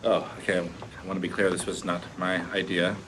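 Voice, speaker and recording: An actor playing a goofy, warmhearted family man in his thirties, reading with an earnest, joking delivery at a table read. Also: sits low, level tone, normal speed, close to an everyday voice. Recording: noisy room ambience.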